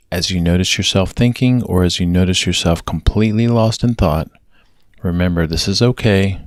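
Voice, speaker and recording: A low-pitched man leads a guided meditation. He gives gentle, measured instructions in a steady narrating style. The sound is dry, with very little room in it. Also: no room sound.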